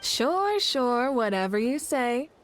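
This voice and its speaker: A woman playing a confident, composed heroic leader in an anime-style game, measured and wry with a playful side. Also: fairly high pitch, big pitch swings, faint music behind.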